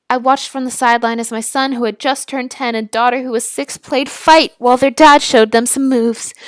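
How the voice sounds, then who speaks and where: A young woman casually reading a story aloud, with chatty, expressive, giggly asides as she reacts to it. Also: a little high, no echo. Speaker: YouTuber reading and reacting to fan fiction.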